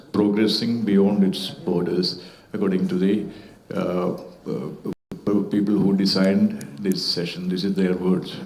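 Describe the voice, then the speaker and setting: A man's voice: fairly low pitch, a little mellow. Guy on a festival panel, careful formal speech, bit of um and uh, echoey hall sound. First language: Malayalam. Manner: measured and formal, with occasional hesitations. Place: auditorium at a literature festival.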